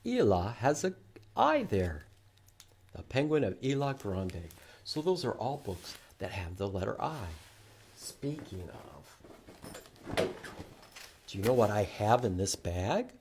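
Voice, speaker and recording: Animated, slow-paced man leading children's storytime. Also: lowish voice, a little hiss or hum. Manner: animated, asks questions and repeats words for children. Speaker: children's library storytime presenter. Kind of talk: interactive read-aloud for young children.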